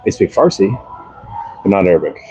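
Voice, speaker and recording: A man's voice: deep voice, big pitch swings, fairly quick, mellow, husky. A man in his early forties casually talking viewers through his workout live, explaining exercises offhand as he goes. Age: early 40s. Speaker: fitness live streamer. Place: workout space.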